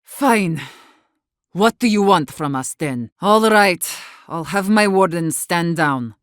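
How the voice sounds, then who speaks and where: A woman's voice: fairly low pitch, animated, slow pace, no echo, studio quality. An actor, a woman, playing a blunt, guarded and embittered leader of besieged wardens in a video game. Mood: guarded, weary. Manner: blunt, guarded, sharp-tongued, swearing. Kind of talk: video game character dialogue.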